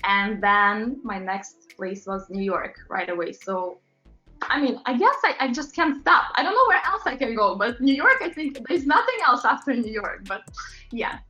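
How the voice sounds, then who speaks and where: Chatty woman from Latvia, higher voice, interview guest; faint music under a muffled recording. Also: big pitch swings, moderate pace, sharp tone. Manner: chatty, laughs often, many fillers. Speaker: interview guest, pop singer. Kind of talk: casual interview chat. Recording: roomy, dull lo-fi sound with faint music.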